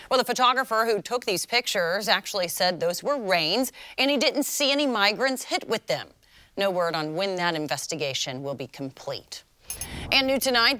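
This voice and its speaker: A woman's voice: medium pitch, pushed and bright, dry recording. An American woman reading scripted television news as an anchor.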